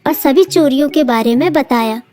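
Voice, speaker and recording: A woman narrating a cartoon story in a calm, even storytelling voice. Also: high-pitched, moderate pace.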